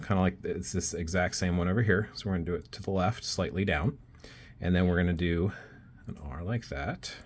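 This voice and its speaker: A man teaching a self-taught craft in a casual step-by-step tutorial, talking while he draws and thinking aloud. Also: deep voice. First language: not Icelandic. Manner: relaxed, talks while drawing, thinking aloud with fillers.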